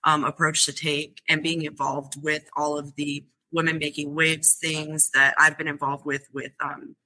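Brief: young woman, club founder guest on a podcast, earnest, bright tone, lots of "um". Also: low voice, level tone, a little slow, low-quality recording. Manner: earnest, many fillers and restarts. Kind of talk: podcast interview answers.

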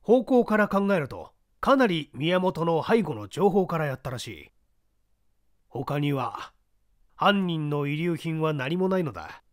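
A man's voice: on the high side, sing-song, dry recording, clean studio sound. A man doing a seasoned older investigator. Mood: explaining. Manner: measured, testing, explanatory.

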